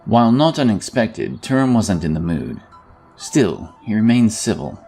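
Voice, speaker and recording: A man with a low, gravelly voice narrates a fantasy story. His delivery rises and falls expressively, and faint music plays behind him. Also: big pitch swings, unhurried, mellow. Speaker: audiobook narrator.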